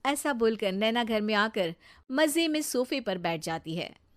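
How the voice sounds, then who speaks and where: A woman's voice: fairly high pitch, sing-song, measured pace. A woman storyteller reading scripted narration for a moral story.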